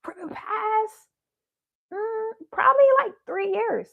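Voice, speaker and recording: A young woman hosting a motivational livestream, earnest and goofy, laughing often, her voice going hoarse. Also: extremely high pitch, slow, phone-quality sound. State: losing her voice. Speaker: livestream host. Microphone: phone or webcam by a ring light.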